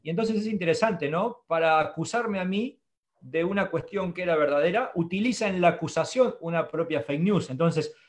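A man's voice: on the high side, normal speed. A middle-aged man moderating an online academic panel over a video call, speaking formally in long, winding sentences. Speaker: webinar moderator. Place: book-lined room indoors.

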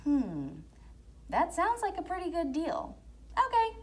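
A woman's voice: very high voice, wildly swooping pitch, slow pace, voice pushed up, heavily acted. A woman voicing an eager, good-natured young boy in a children's fairy tale, simple and childlike. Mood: agreeable.

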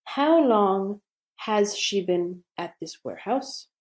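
A woman reading a scripted grammar lesson aloud, slowly and deliberately with pauses between phrases. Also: mid-range voice, animated. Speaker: English teacher.

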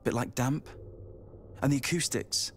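A man's voice: mid-range voice, measured pace, a little hiss or hum, lightly acted. An actor playing a curious, unsure young man in an audio drama, hesitant and inquisitive.

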